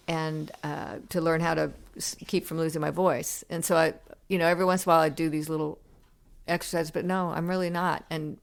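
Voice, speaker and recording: Low, gravelly woman telling stories. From United States. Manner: reflective storytelling with many restarts and fillers. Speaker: musician guest. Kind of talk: casual interview conversation.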